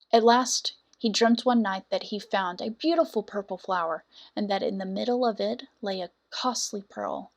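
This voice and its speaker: A woman reading a scripted fairy tale as a storyteller, with dramatic, deliberate phrasing. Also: medium pitch. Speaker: storyteller, audiobook narrator.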